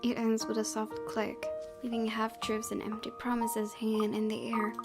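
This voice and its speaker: A woman's voice: on the high side, completely flat delivery, normal speed. A woman reading visual novel narration aloud, breaking into casual asides as a gaming YouTuber. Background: background music.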